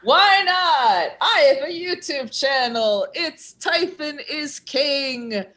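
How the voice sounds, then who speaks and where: A woman's voice: high-pitched, animated, slow pace, bright, forceful tone. A woman improv performer chatting casually over a video call, laughing often. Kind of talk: casual chat.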